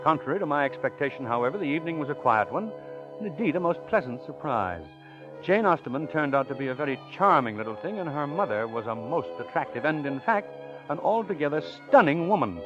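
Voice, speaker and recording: Suave gentleman swindler male actor, courtly and wordy, very animated delivery, vintage radio sound. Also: fairly high pitch, brisk, close to an everyday voice. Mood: wry narrating. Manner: ornate, courtly, chuckling diction. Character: smooth, genteel male con man posing as professor. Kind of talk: old-time radio acting with first-person narration. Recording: muffled old radio recording, faint music.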